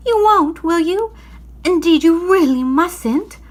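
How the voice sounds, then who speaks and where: A kind, earnest little girl, acted by a woman reading a storybook, with a very high voice. She is polite and pleads sincerely. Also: expressive, slow, heavily acted. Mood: alarmed, pleading.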